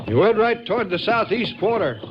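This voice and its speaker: A folksy, higher-voiced Texas sheriff. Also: male voice, very animated, brisk, music in the background. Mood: helpful, laconic. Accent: Texan. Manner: folksy, laconic. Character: plain-spoken, easygoing small-town Texas sheriff. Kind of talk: mid-century American radio drama acting. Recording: muffled, noisy vintage radio recording.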